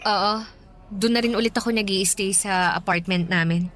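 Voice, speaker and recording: A woman with a Filipino accent playing a soft-hearted, apologetic young woman in a conversational radio drama, gently spoken. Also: mid-range voice, fairly even intonation, moderate pace, slight background noise, lightly acted. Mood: shy, friendly. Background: faint music.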